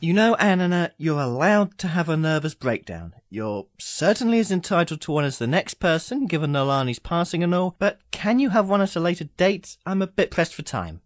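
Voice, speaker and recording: A man's voice: fairly high pitch, sing-song, a little fast, few pauses, no room sound. A man playing an urbane immortal sorcerer in an audio drama, speaking formally with dry, sardonic impatience. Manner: wry, formal, theatrical phrasing.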